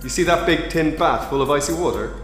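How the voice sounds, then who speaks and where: A man's voice: on the high side, brisk, lightly acted. A man playing a cruel, sneering secret service heavy in a radio thriller, barking orders with menace. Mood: gloating cruelty. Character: cruel, sneering MI6 heavy who enjoys his victims' fear. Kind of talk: radio thriller drama acting. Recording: echoey hall reverb under loud music.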